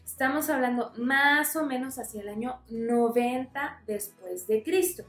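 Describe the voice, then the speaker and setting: A woman's voice: high-pitched, measured pace, fairly bright tone, reverberant, soft background music. A woman teaching a Bible lesson in a friendly way, explaining with everyday examples. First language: Spanish.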